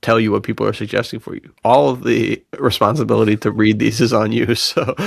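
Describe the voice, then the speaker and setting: A man's voice: fairly low pitch, steady tone, a little fast, vocal fry, no room sound, studio quality. A man hosting a podcast in a casual, laid-back, bro-ish way, using plenty of slang. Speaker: podcast host.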